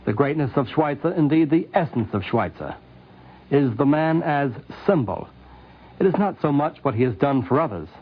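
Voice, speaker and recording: A man reading scripted 1950s radio narration in a solemn, measured, oratorical way. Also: medium pitch. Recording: thin, phone-like 1950s radio recording.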